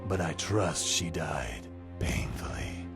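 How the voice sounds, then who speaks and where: An actor voicing a cruel, mocking ancient vampire lord in a game cutscene, slow and contemptuous. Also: male voice, expressive, exaggerated voice. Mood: sneering. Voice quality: deep and gravelly. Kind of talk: video game cutscene acting. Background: background music.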